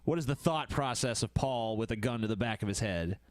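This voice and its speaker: A man's voice: mid-range voice, brisk. A man co-hosting a podcast in casual chat, profane and cutting in with questions. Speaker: podcast co-host.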